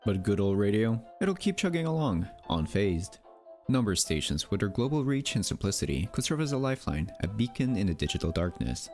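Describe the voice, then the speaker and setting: Male narrator, low register, lively melodic delivery, wry scripted mystery documentary over faint music. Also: a little fast. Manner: wry, theatrical, rhetorical asides.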